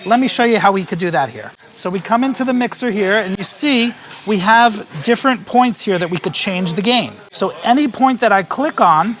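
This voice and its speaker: A man teaching live sound setup in a music venue, explaining each gain stage calmly and speaking into a handheld vocal mic. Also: high-pitched, moderate pace. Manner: calm, explanatory, stepping through each setting. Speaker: sound engineer and band leader teaching live sound. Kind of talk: hands-on tutorial with sung demonstrations. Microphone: handheld vocal microphone.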